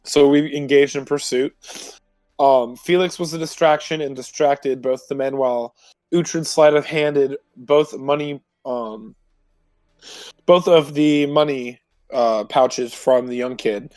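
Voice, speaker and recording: A man informally recapping a previous tabletop roleplay session as a player, in a halting, self-correcting way. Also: medium pitch, no room sound. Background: music.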